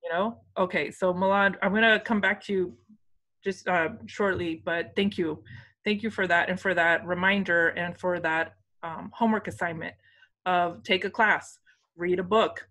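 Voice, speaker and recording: A woman's voice: fairly low pitch, steady tone, normal speed, pushed and bright. A woman moderating a webinar panel, encouraging and repeating key points for emphasis. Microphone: video call.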